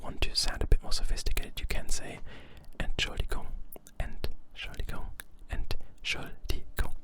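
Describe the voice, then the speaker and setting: Young whisperer, informal ASMR language tutor, rambling and carefully repeating words. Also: brisk. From Germany. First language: German. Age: young adult. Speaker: language teacher.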